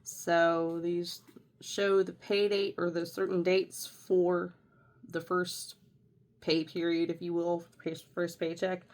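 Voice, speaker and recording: Congested nasal woman chatting about budgets. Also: on the low side. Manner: chatty, rambling with fillers and self-corrections. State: congested, nasal from a sinus infection. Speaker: budgeting vlogger. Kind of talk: casual walkthrough of a budget planner.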